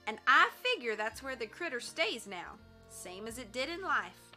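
A woman's voice: fairly high pitch, very animated, moderate pace, bright, forceful tone, soft background music, exaggerated voice. A woman voicing a superstitious ranch cook woman, folksy and emphatic. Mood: fearful.

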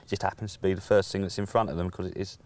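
A man in his thirties, a guest angler, explaining his tackle in a casual outdoor chat, often pausing with "um". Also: sits low, steady tone, darkish tone. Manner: hesitant, many "um"s, explains plainly. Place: lakeside outdoors, seated.

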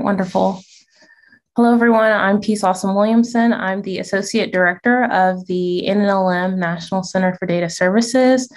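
A woman presenting over a video call, friendly and explanatory, with light laughs now and then. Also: mid-range voice. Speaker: conference presenter, associate director. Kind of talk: virtual conference presentation.

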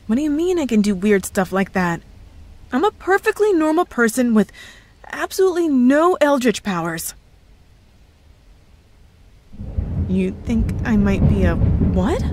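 A woman acts out a cheerful young girlfriend hiding that she is a witch. Her voice sits fairly high and she takes her time, with hesitant pauses. She is playful and evasive, putting on innocence in an intimate audio roleplay. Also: a little mellow, slight background noise. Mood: flustered, teasing. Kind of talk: ASMR roleplay, scripted one-sided dialogue.

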